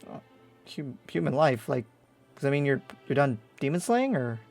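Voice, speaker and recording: A man's voice: mid-range voice, very animated, moderate pace, gravelly, darkish tone, soft background music. A man playing an easygoing young demon slayer in an improvised anime game roleplay, talking casually and hesitantly. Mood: friendly, curious.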